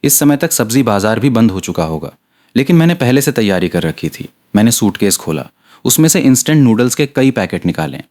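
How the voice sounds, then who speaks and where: A man's voice: mid-range voice, very animated, fairly quick, a little mellow. A man reading a scripted story aloud as an audiobook narrator.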